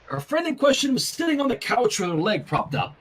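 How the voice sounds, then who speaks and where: Fan fiction reader: male, very expressive swooping pitch, slightly raspy, casual between passages. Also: brisk. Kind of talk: animated story reading with casual asides.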